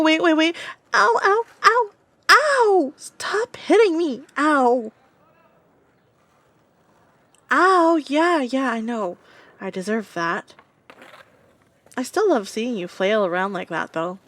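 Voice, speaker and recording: Woman acting high-pitched, teasing prankster girl. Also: no echo, exaggerated voice. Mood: gleeful, teasing. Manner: animated, bantering, boastful. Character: playful, teasing young prankster woman, smug and excitable. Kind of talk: casual comedic audio roleplay.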